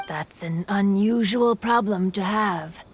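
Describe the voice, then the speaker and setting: A woman voicing a calm, reserved, soft-spoken young festival-goer in an anime game. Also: medium pitch, takes it slowly, husky. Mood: wry.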